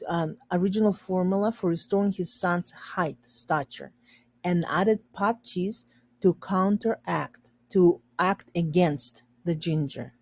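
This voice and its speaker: A woman reading a children's story aloud and pausing to explain words simply for learners. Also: on the low side, a little mellow, breathy edge.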